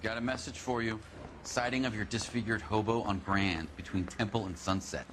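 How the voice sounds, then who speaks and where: An actor playing a matter-of-fact 1940s police station officer relaying messages in a video game. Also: male voice, lowish voice, fairly even intonation, normal speed, noisy background, natural voice. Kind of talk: video game cutscene acting.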